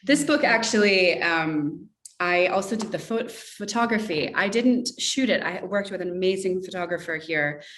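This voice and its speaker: A woman's voice: fairly low pitch, expressive, moderate pace, a bit bright, recorded from a distance. A woman author from Russia chatting effusively in an interview over a video call, rambling and circling back mid-sentence. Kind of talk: casual interview chat.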